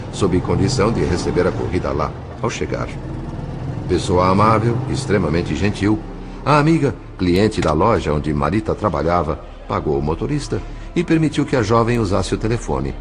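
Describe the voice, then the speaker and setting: A man with a Brazilian accent narrating an audio drama from a script in formal, measured, dramatic phrasing. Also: deep voice, background noise. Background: music.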